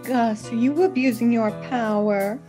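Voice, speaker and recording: Woman playing a high-voiced seductive mistress. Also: fairly even intonation, a little slow, mellow, soft background music, natural voice. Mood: sultry, teasing. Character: seductive, insistent female mistress of an agent. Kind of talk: radio play acting.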